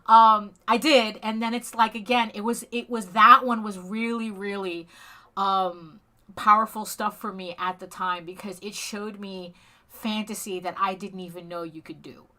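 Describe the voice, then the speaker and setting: A middle-aged woman from Spain with a bright, crisp tone. She talks casually as an interview guest over a video call, often restarting her sentences and breaking into chuckles. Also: mid-range voice, fairly even intonation. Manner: halting, with frequent restarts and chuckles. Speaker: comic artist guest.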